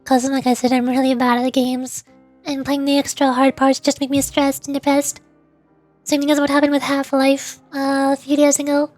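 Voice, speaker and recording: A woman's voice: high-pitched, completely flat delivery, moderate pace, darkish tone, faint music behind. A woman chatting casually and in a rambling way as she livestreams a game. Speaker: streamer, VTuber. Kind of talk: casual livestream chat while gaming.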